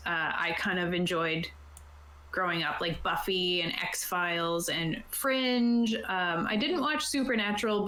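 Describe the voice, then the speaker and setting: A woman's voice: mid-range voice, bright, forceful tone. A woman hosting a casual livestream chat about tabletop gaming, thinking aloud in a hesitant way as she explains things. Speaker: podcast host and game keeper. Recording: roomy echo, faint background noise.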